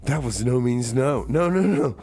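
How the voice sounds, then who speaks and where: A man's voice: medium pitch, expressive, normal speed, a little mellow. A man hosting a music radio show with loose, comedic banter in a studio.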